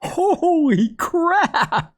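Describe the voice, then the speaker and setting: A game streamer giving casual, slangy, excitable live reactions and reading bits aloud. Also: very high-pitched, very slow. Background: game music.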